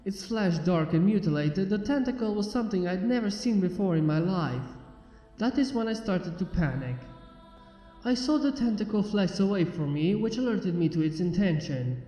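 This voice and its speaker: High-voiced, mellow male story narrator. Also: expressive, moderate pace. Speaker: fan fiction narrator. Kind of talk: scripted first-person story narration. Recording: roomy echo, reverb, music behind. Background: background music.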